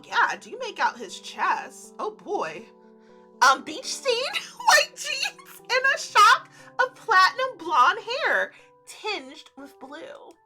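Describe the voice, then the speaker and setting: A woman reading a visual novel aloud as a gaming creator, expressive and giggly, with gushing casual asides. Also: very high-pitched. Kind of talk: playful let's play read-aloud with casual reactions.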